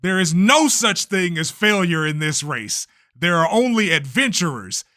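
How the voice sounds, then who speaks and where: Showy race promoter for a manga dub, male actor: forceful, declamatory, unshakeable, close dry sound. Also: high voice, very animated, dry recording, exaggerated voice. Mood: rousing, grandiose. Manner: grandiose, declamatory, deliberate. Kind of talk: amateur anime-style manga dub.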